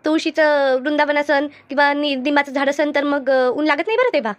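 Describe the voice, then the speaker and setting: A woman voicing a lively, teasing village friend in an animated comedy, with a rural Maharashtrian accent. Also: sits high, fairly quick, no room sound, lightly acted. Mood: chatty. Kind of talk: animated cartoon comedy dialogue.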